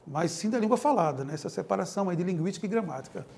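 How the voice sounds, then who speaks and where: A man from Pernambuco with a Pernambuco accent talks through his answers as an interview guest. His voice sits high, and he lays out his points eagerly, with an occasional laugh. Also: racing, gravelly. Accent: Pernambuco Portuguese. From Pernambuco, Brazil. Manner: animated, laughs while making points. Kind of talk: explanatory talk-show interview.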